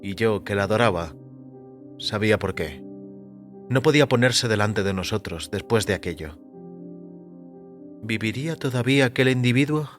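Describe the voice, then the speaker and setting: A man narrating a scripted first-person story in a measured, literary way. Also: on the low side, lively intonation, soft background music.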